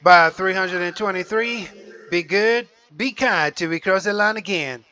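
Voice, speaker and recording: A man's voice: high voice, unhurried, bright, forceful tone. A man working a CB radio as operator, trading slangy chatter in a showy, rhythmic patter full of stock catchphrases. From Jamaica. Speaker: CB radio operator taking calls. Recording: dull, lo-fi CB radio audio.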